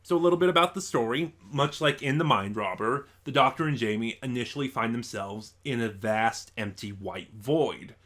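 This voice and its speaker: A man hosting a casual spoken review, talking in halting phrases with thoughtful pauses. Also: medium pitch, a bit bright. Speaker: reviewer, channel host.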